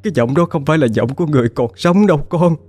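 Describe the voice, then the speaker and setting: A man's voice: mid-range voice, fast, dark tone, slightly rough, no room sound, faint music behind, voice pushed up, lightly acted. A man voicing a grieving middle-aged mother who pleads tearfully with her lost daughter. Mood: despairing.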